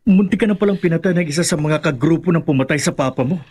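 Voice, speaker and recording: A man's voice: a little high, fast talker, background noise, lightly acted. An actor playing a worried, questioning male friend in a Filipino radio horror drama, with a Filipino accent. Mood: astonished. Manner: anxious, questioning.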